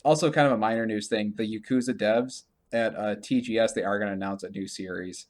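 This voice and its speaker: A man's voice: lowish voice, steady tone, brisk. A man hosting a video game podcast, chatting casually about gaming news in a rambling, stammering way and often repeating himself.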